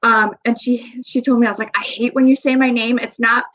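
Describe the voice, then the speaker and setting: Young woman, Wisconsin accent, giggly and chatty, bright higher voice, dull lo-fi recording. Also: steady tone, fairly quick. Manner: giggly, chatty, lots of fillers and laughs. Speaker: podcast guest. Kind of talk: casual interview chat. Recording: dull lo-fi sound.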